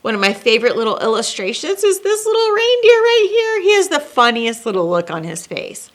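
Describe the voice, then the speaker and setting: A woman storyteller reading a children's picture book aloud expressively, with dramatic pauses and chatty asides as host. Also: high-pitched, wildly swooping pitch.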